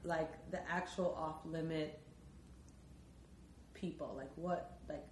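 A young woman with a fairly low voice hosting a chatty podcast and keeping the conversation moving. The room sounds echoey, with a faint hiss underneath. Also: steady tone, slow pace, a little mellow. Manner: bossy, steers the talk, laughs easily. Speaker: podcast host. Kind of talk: casual chat.

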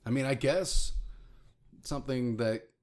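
A man in his thirties with a deep voice, co-hosting a podcast in casual, self-mocking chat with many fillers. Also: unhurried, darkish tone. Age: 30s. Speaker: podcast co-host.

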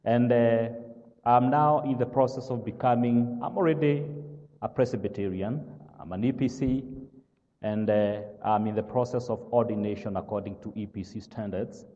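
A man from Kenya with a Kenyan accent, whose first language is not English, preaching a sermon as pastor. He speaks slowly and haltingly, fills pauses with "uh" and keeps asking "all right?". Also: medium pitch, warm tone, big-room sound, muffled recording. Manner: slow, halting with many "uh" fillers, checks "all right?".

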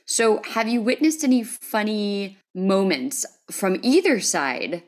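A woman's voice: mid-range voice, expressive, takes it slowly. An American woman hosting a podcast, chatting informally and readily amused as she responds and asks questions. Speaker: podcast host. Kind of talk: casual interview chat.

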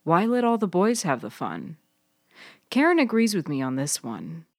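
A woman playing a smirking, persuasive woman who gives advice in a story, measured and coaxing. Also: fairly low pitch, wildly swooping pitch, no echo, clean studio sound, full character voice.

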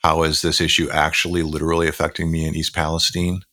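A man co-hosting a podcast, talking in an earnest, measured way with fillers and restarts. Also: extremely low pitch, completely flat delivery, sharp tone, dry recording, clean studio sound. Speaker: podcast co-host. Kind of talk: reflective podcast conversation.

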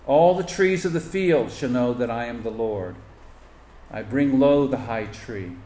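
A man reading a scripture passage aloud at a steady, measured pace. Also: mid-range voice, roomy sound, slight background noise. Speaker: scripture reader.

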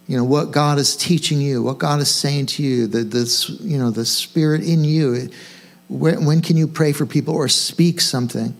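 A man's voice: on the high side, moderate pace. A man speaking as a senior pastor, giving an informal sermon in a conversational way, questioning himself and stressing key points. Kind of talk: informal sermon to own congregation.

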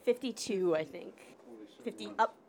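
A woman hosting her podcast on the move, playful and quick to laugh, interviewing a guest. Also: mid-range voice, a crowd in the background. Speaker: podcast host. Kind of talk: casual walking interview. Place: busy public building, indoors.

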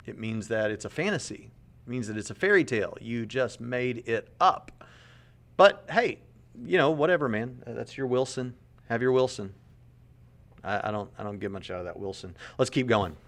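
A man's voice: medium pitch, expressive, moderate pace. A man in his late thirties, earnest and conversational, commenting on a podcast clip and often repeating words as he reasons aloud. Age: 30s. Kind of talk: apologetics commentary.

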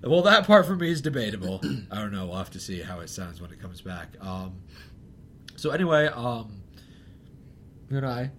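A man's voice: lowish voice, big pitch swings, moderate pace, fairly bright tone, a little hiss or hum. A young man co-hosting a podcast, chatting casually, rambling and self-deprecating, often laughing. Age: young adult. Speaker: podcast co-host. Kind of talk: casual chat.

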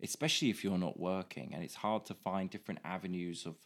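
A man's voice: low voice, fast talker. A young man from the UK, a musician, chatting casually at home in an easygoing, self-deprecating way. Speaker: musician guest. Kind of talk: casual chat.

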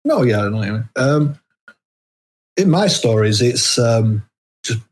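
A man answers questions as a guest author in a casual interview. His voice sits at a middling pitch. He talks haltingly, often restarting phrases as he works out what he means. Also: sing-song. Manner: halting, hesitant phrasing with repeated false starts.

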